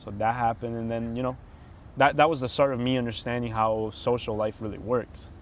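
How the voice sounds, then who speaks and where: A talkative young man from Brazil, chatting as a podcast guest in a mid-pitched voice. His talk rambles and is full of filler words. A faint noise sits underneath. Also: little pitch movement, a little fast. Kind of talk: casual chat.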